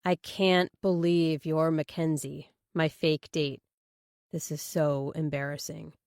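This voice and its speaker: Woman as male detective, sheepish, hesitant, awkward, dry close audiobook sound, gravelly edge. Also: low-pitched, level tone, slow pace, a little mellow, no room sound. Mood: awkward, embarrassed. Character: bashful, awkward male police detective in a tux. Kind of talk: audiobook character dialogue.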